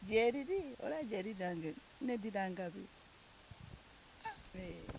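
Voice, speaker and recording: A woman's voice: medium pitch, expressive, a little slow, vocal fry. Easygoing woman, Ugandan-born guest. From Uganda, raised in Denmark. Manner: mixes English and Luganda, easygoing, laughs often. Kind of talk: casual interview chat.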